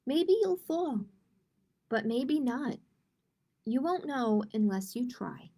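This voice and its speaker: A woman's voice: a little high, sing-song, takes it slowly, mellow, exaggerated voice. A woman reading aloud as a cheerful, encouraging mother in a children's picture book. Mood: upbeat, encouraging.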